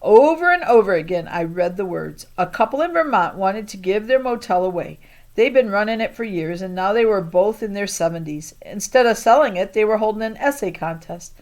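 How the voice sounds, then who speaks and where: Woman, audiobook reader, expressive first-person storytelling, mid-pitched voice, occasional stumbles over words. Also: a little fast. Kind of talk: expressive children's novel narration in first person.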